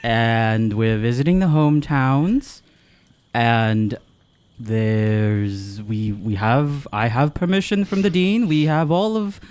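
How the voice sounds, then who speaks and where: A man's voice: medium pitch, slow, a little mellow, clear, resonant tone, close to an everyday voice. A man playing an authoritative, stiffly official teacher in a tabletop fantasy game. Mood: insistent. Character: authoritative teacher archon covering for students.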